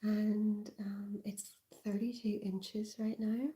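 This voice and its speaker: A woman with a softened Northern Irish accent, whispering in a relaxed ASMR livestream and rambling with plenty of fillers. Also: mid-range voice. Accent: Northern Irish, softened and mixed. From Northern Ireland. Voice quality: soft whisper. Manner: halting, rambling, with many fillers.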